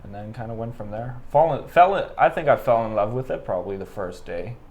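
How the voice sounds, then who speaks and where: A young Canadian man in his twenties, talking in a relaxed, rambling way with many fillers as a guest on an interview podcast. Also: mid-range voice, fairly quick. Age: 20s. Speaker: guest, amateur boxer. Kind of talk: casual interview conversation.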